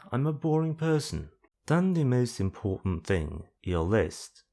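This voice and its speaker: A man's voice: low-pitched, a little mellow. A man narrating an explanatory tutorial in a plain-spoken, dry, self-deprecating way. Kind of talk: explanatory screen-guide narration with casual self-deprecating asides.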